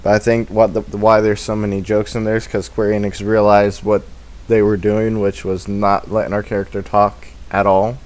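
A man's voice: lowish voice, completely flat delivery, normal speed, darkish tone. A man giving casual, rambling gameplay commentary as a let's play host, with a self-deprecating manner.

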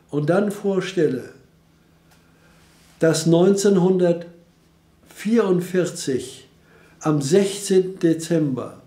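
An older man speaks about his memories with heated indignation, his voice slightly high yet dark and mellow. The living room around him adds a noticeable roomy echo. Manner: impassioned, indignant storytelling. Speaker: interviewee recalling wartime. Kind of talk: personal memory interview.

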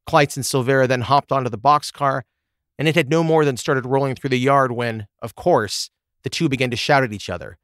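A man's voice: mid-range voice, a bit bright, dry recording, studio quality. A man hosting a true crime podcast, reading a scripted narration. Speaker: podcast host.

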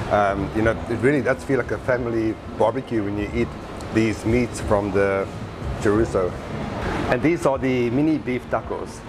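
A man's voice: mid-range voice, background noise. Eager man reviewing food. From South Africa. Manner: enthusiastic, talks to camera while eating. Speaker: restaurant reviewer. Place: indoor restaurant by a busy street.

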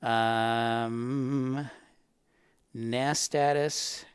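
Male educator-host for a casual livestream. Mid-pitched, clean voice, rambling conversational delivery, very little room sound. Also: fairly even intonation, extremely slow, smooth, no echo, studio quality. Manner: chatty, rambling, addressing viewers by name. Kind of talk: casual livestream chat with viewers.

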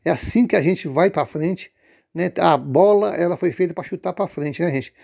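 A man casually pitching a lottery pool, rambling with many fillers and restarts. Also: moderate pace, gravelly, a little mellow.

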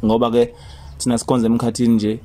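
A man's voice: medium pitch, very animated, fast talker, creaky, mellow. A young man hosting a comedy prank phone call outdoors, talking in casual street style. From South Africa. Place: outdoors beside a parked car under a carport.

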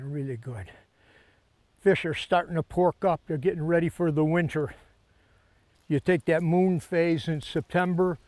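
A man's voice: a little high, darkish tone, no echo. An older man hosting a fishing show, talking casually in a folksy, emphatic way with repeated phrases, outdoors on a boat. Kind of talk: casual fishing commentary and lure talk.

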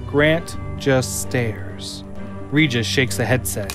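A man narrates an audio drama in scripted present-tense scene description. His voice sits at a middling pitch, with faint music beneath it. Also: takes it slowly.

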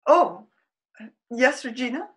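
A woman acting in a radio drama as a shy, flustered Edwardian lady who talks in a halting, bashful way. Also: sits high, big pitch swings, fairly bright tone, full character voice. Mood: polite. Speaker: radio play actor.

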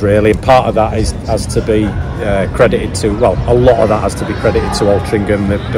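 Low, flat-voiced man with frank post-match talk. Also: background noise. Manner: candid, reflective, frequent fillers and restarts. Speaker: football manager. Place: outdoors at a football stadium by the stands.

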